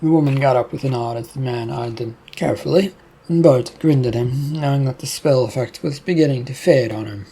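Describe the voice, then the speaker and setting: A man reading a story in a plain, steady narration. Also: medium pitch, creaky, husky.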